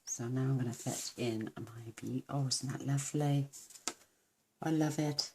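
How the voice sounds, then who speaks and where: A woman with a croaky voice, chatting warmly through a card-making demonstration and gushing over each step. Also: very deep voice, steady tone, measured pace, noisy background. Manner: gushing, talks to viewers while working.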